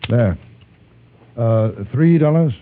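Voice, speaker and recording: An actor playing a composed English newspaperman with a British accent in an old radio drama, polite, measured and understated. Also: mid-range voice, a little hiss or hum, lightly acted. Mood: polite, calm. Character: courteous, composed English newspaperman who carries a gun. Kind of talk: old-time radio drama acting and first-person narration. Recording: muffled old radio broadcast with music.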